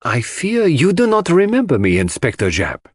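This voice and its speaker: A male reader acts out a shrewd gentleman detective from a mystery audiobook. He speaks formally and softly, as if confiding, with polite gravity and a lively melody in a dry recording. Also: on the high side, moderate pace, dry recording, pitched above the performer's own voice. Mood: courteous.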